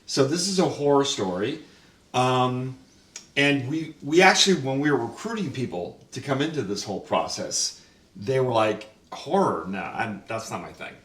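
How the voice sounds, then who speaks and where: A man's voice: medium pitch, lively intonation, normal speed, a bit bright. A man hosting a casual chat as writer and director, asking his cast questions with lots of restarts. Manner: chatty, asks questions, many restarts. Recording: roomy, mic at a distance.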